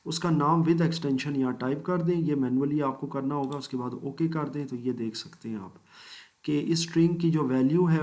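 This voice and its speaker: A man teaching a screen-recorded software tutorial, walking through each step methodically with polite asides and English phrases mixed in. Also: mid-range voice. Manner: mixes English phrases into speech. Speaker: computer course instructor.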